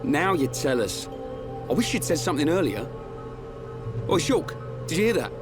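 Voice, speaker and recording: Brash young male sidekick: working-class English accent, loyal, blunt, fairly high voice, game cutscene over music. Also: big pitch swings, normal speed. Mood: wry. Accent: British, working-class English. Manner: blunt, colloquial. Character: brash, loyal, blunt young man, hero's best mate. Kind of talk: video game cutscene voice acting.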